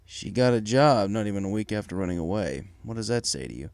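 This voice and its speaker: A man playing a worried pony father and husband, hesitant and measured, in a dramatic story reading. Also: fairly low pitch, lively intonation, a little mellow, no room sound. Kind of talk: dramatic fan fiction audio reading.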